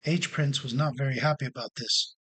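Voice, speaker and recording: A man's voice: medium pitch, steady tone, fairly quick, fairly bright tone, husky. A man narrating a children's storybook as a read-along storyteller.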